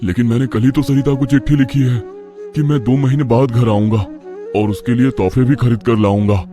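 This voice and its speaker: An earnest young merchant from a village, acted by a man for an animated moral tale. His voice sits at a middle pitch and sounds sincere and respectful. Faint music runs behind it. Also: normal speed, lightly acted. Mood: sincere, pensive. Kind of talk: Hindi animated moral story dialogue.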